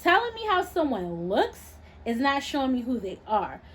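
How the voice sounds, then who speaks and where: A woman's voice: high-pitched, huge pitch swings, normal speed, a little hiss or hum, pitched above the performer's own voice. A woman voicing a brash, sassy, unapologetic young woman in storybook dialogue, sarcastic, profane and slangy. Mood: irritated. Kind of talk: expressive audiobook-style character dialogue.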